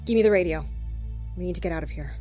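A woman playing a brisk, commanding federal agent in an audio drama. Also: lowish voice, mellow, soft background music, close to an everyday voice. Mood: firm, brisk. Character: firm, take-charge female federal agent.

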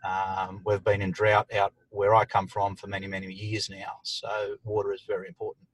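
A man's voice: fairly low pitch, monotone, normal speed, breathy edge, dry recording, rough recording. A man from the outback, a technical expert who answers questions in a plain, practical way with "um" fillers, recorded inside a vehicle. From far western New South Wales, Australia. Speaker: irrigation technical manager, guest expert. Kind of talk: interview answers.

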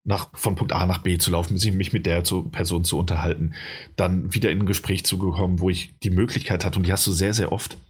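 Low, husky-voiced man, casual chat, rambling with fillers, game talk. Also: little pitch movement, quick pace. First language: German. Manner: rambling, many fillers and restarts. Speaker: game reviewer.

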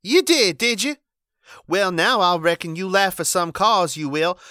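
A man voicing a furious, scolding old wife in a high, shrill, shouting voice. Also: expressive, unhurried, dry recording, clean studio sound, exaggerated voice. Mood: commanding.

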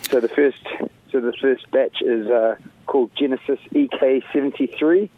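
A man's voice: mid-range voice, steady tone, moderate pace. Man on a tinny phone line. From Sierra Leone. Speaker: caller. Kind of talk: phone interview. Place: at a hockey game.

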